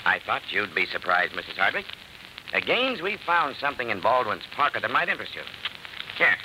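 An actor playing a shrewd, dogged police detective with wry, pointed questioning in an old-time radio crime drama. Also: male voice, lowish voice, very animated, brisk. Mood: coolly insistent. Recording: noisy old radio recording.

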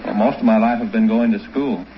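A man's voice: on the low side, steady tone, fairly quick. Polite American man, muffled noisy radio recording. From United States. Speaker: quiz show contestant, university dean. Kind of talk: polite interview answers.

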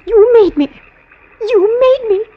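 An actress playing a frightened, insistent young sweetheart in an agitated old radio crime drama. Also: female voice, very high voice, slow. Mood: accusing. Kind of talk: 1950s radio drama acting. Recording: dull old radio recording.

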